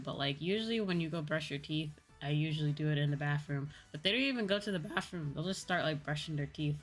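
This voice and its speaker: Woman streamer, notably low voice, casual conversational explainer who circles back on her points, music playing underneath. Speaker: streamer, VTuber host chatting with viewers. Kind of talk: casual livestream chat. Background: background music.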